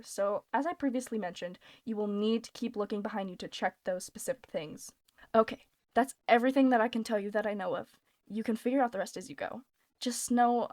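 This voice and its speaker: A woman voicing a female radio guide who gives steady, soft-spoken night-shift instructions for an audition. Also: mid-range voice, no echo, close to an everyday voice. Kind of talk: voice-acting audition read.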